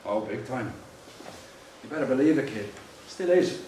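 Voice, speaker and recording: A man's voice: medium pitch, animated, normal speed, dark tone, a little hiss or hum, close to an everyday voice. An actor playing a blunt, plain-spoken middle-aged Irish fisherman with an Irish accent. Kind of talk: audio drama acting. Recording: very echoey, distant from mic.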